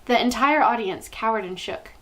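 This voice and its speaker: Higher-voiced woman reading a children's story. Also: lively intonation, normal speed, low-quality recording. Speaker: storytime reader. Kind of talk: expressive children's book narration.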